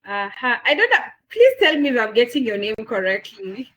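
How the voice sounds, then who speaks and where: Kenyan lady wrapping up an online class, bubbly, kinda high voice, says uh a lot, on a video call. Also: big pitch swings, normal speed, fairly bright tone, rough recording. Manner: upbeat, enthusiastic, lots of fillers and repeats. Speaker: session moderator. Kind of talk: closing remarks on an online masterclass.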